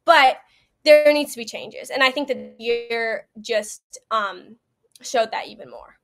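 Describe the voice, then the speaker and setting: A woman's voice: fairly high pitch, measured pace. A woman giving a personal account as an interview guest, earnest, conversational and emphatic. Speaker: podcast guest, event attendee.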